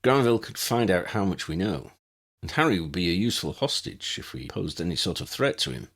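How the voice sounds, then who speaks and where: Man as a plain-spoken young man, decisive, informal, animated intonation, audiobook character. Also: low voice, a little fast, exaggerated voice.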